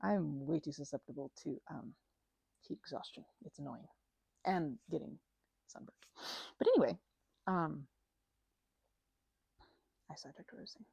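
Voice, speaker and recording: A woman's voice: low-pitched, moderate pace, gravelly, long pauses, mellow, husky, no room sound, low-quality recording. A woman in a casual ASMR roleplay, chatting informally as a best friend and often interrupting herself mid-thought. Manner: rambling, self-interrupting, informal and conversational.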